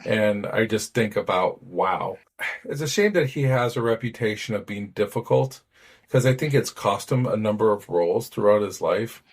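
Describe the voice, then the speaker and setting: A man's voice: lowish voice, moderate pace, slightly rough. A man in a casual film review chat, thinking aloud and hedging his opinions. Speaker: podcast co-host.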